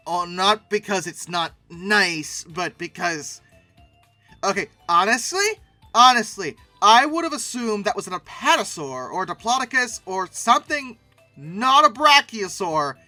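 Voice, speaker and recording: A bright, very animated host giving casual, funny commentary. The delivery swings around with wry asides and exasperated emphasis. The sound is close and dry, with music behind it. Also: mid-range voice, dry recording.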